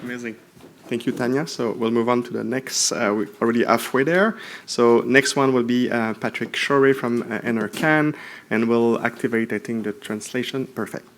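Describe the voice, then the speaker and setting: Man, mid pitch, informal session moderator, short acknowledgements, faint noise and clicks. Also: normal speed, a bit bright. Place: live forum session.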